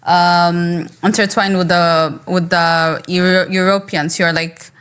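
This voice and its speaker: A woman's voice: on the low side, level tone, slow, fairly bright tone, clean tone. A woman hosting a panel discussion, asking probing questions with plenty of fillers.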